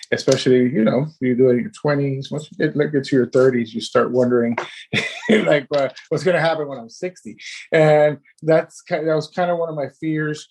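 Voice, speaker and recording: A man's voice: mid-range voice, a little mellow, a little hiss or hum, low-quality recording. Man in his 30s, Cuban-born and Miami-raised, podcast guest, loose and chatty with frequent restarts. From Cuba, raised in Miami. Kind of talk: casual podcast interview.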